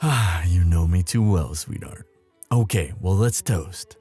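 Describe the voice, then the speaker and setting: A man playing a young prince, a tender and anxious lover, in an intimate, halting romantic audio roleplay. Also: low voice, mellow, slightly rough, faint music behind. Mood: tender, teasing.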